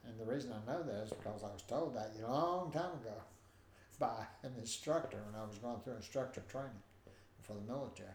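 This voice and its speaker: An older man with a nasal voice, telling stories in an animated way as a guest in a conversation. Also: medium pitch, a little fast, a little hiss or hum. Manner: animated, acts out quoted voices. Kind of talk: storytelling in a conversation. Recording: roomy with faint ambience.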